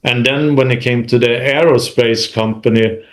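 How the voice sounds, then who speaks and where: A man from Sweden, speaking as an interview guest about his working life in a halting, self-correcting conversational style. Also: mid-range voice, steady tone, rough recording. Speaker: interview guest, manufacturing executive. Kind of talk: recounting career experience in conversation.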